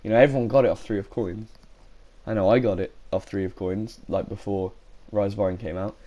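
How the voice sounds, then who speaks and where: A man's voice: lowish voice, fairly even intonation, a little fast, dark tone, highs cut off. A man with a sore throat, talking casually about a game in his own video and often correcting himself. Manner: rambling, frequent self-corrections. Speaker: gaming YouTuber.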